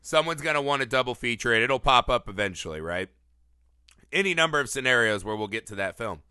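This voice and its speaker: Bright, crisp-voiced podcast host with sniffles. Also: male voice, medium pitch, a little fast, no room sound, studio quality. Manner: animated, playful, teasing. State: sniffly from a cold. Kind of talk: casual podcast chat. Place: Los Angeles area.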